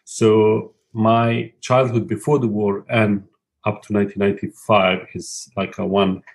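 A man in his thirties from Bosnia and Herzegovina, a native Bosnian speaker, recounting his childhood as an interview guest; he talks reflectively, with halting restarts. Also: fairly low pitch, little pitch movement, vocal fry. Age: 30s. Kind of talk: personal testimony in an interview.

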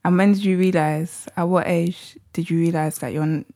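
Woman host on a podcast, kinda low voice, friendly and curious. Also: steady tone, dark tone. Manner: friendly, curious questioner, quick to laugh. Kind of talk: interviewing podcast guest.

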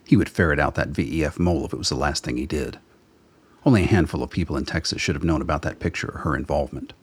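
A man with a husky voice reading scripted narration for an audio drama as its narrator. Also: rumbling low, fast talker.

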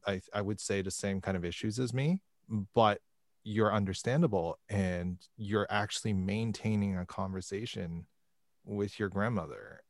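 A man's voice: deep voice, no room sound, clean studio sound. A man from Canada interviewing a guest, encouraging her and asking follow-up questions. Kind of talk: casual conversational interview.

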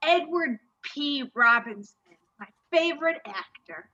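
A woman acts out a hapless, movie-obsessed husband with a high, forceful voice. He rambles meekly and puts himself down. It is improvised radio comedy in muffled lo-fi sound. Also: lively intonation, measured pace, heavily acted. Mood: confiding, starry-eyed. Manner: rambling, meek, self-deprecating. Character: hapless henpecked husband, movie-obsessed, sheepish yet plotting. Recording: dull lo-fi audio.

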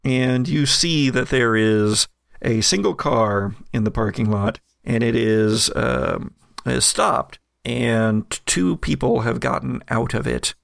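A man's voice: mid-range voice, vocal fry, fairly bright tone, no echo, clean studio sound. A man narrating as game master of a tabletop game, describing scenes with frequent fillers.

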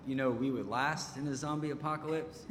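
A man's voice: medium pitch, fairly even intonation, a little fast, a bit bright, slight background noise. A man from Texas, a musician, making casual stage banter in a live venue. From Texas, United States. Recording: roomy, echoing live venue recording.

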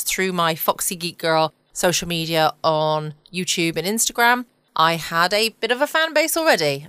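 A woman's voice: low voice, a bit bright, smooth, no echo. A young English woman hosting a cozy late-night podcast from home, chatty and soothing. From England. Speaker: podcast host.

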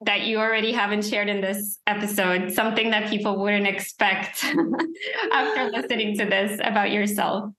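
Woman, podcast host, encouraging, bright tone, roomy echo. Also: medium pitch, moderate pace, a little hiss or hum. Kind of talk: casual interview chat.

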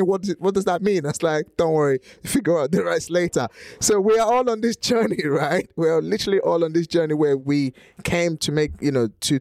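A man's voice: a little high, a little mellow, no room sound. Nigerian-accented man with a dry sound. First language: Nigerian language. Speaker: host. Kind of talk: conversational interview.

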